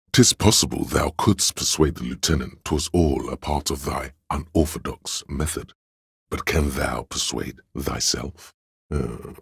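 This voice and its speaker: A man voicing a sly, flattering inner voice in a video game, with theatrical, courtly, old-fashioned diction and a gravelly, husky bass. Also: no room sound, studio quality, heavily acted. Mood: grandiose, courtly. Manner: grandiose, archaic courtly diction, conspiratorial. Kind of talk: scripted video game voice acting, mock-Shakespearean.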